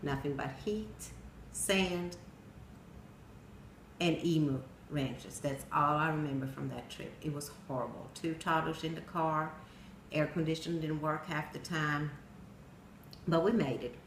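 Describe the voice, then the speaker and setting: A middle-aged woman from Sweden with a Swedish accent, telling a personal story in plain storytelling with pauses and some non-native grammar. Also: sits low. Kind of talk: personal narrative speech. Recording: echoey room with faint noise.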